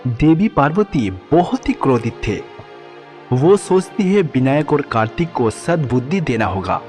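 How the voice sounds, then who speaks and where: A man's voice: medium pitch, big pitch swings, a little slow, darkish tone. A man narrating a scripted devotional storybook tale as the narrator. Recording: long reverb, background music.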